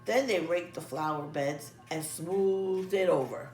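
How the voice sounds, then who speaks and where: Female storybook reader, animated delivery for children, roomy sound set back from the mic. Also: lowish voice, a little slow. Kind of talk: expressive children's story read-aloud. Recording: echoey room, distant mic, music behind.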